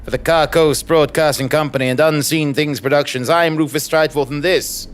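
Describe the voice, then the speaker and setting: An actor playing a pompous, self-regarding investigative journalist, narrating his case deliberately in a mock-noir audio drama. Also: a little high, fairly even intonation, faint music behind, natural voice. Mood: grave, portentous.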